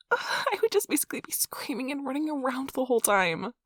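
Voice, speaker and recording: A woman playing an affectionate, playful, nerdy young girlfriend in an intimate, soft-spoken ASMR role-play, with a breathy, whispery voice and a tender, teasing manner. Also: high-pitched, very animated, moderate pace, no room sound, studio quality, full character voice. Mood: playful, reluctant.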